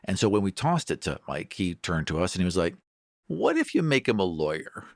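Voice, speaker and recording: A man's voice: deep voice, big pitch swings, fairly quick, no echo, clean studio sound. A man speaking as a podcast host and game master, delivering a scripted introduction. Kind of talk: scripted show introduction and promo.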